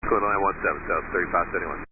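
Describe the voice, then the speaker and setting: Man, airline pilot, very low voice, fast clipped readbacks, thin noisy cockpit radio. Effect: aircraft radio. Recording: noisy, narrowband aircraft radio transmission.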